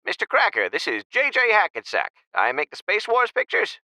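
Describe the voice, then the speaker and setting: A man playing a brash, flattering Hollywood filmmaker in a comic dub, with showy salesman-like patter. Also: high-pitched, moderate pace, no echo, exaggerated voice. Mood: flattering, pitching. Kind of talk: animated comic dub acting.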